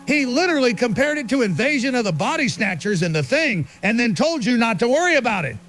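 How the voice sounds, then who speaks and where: An actor playing an agitated, paranoid conspiracy broadcaster, delivering an urgent, insistent monologue full of rhetorical emphasis in an audio drama. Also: male voice, very high-pitched, sing-song, quick pace, a bit bright, slight background noise, full character voice. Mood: agitated, incredulous. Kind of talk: ranting conspiracy talk show monologue. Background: music.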